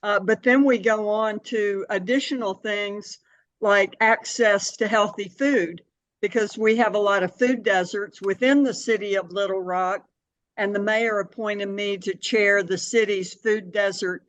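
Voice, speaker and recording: An older woman giving measured, explanatory answers in a conversational interview. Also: medium pitch, fairly even intonation, no room sound. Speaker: interview guest, city board member and anti-hunger advocate.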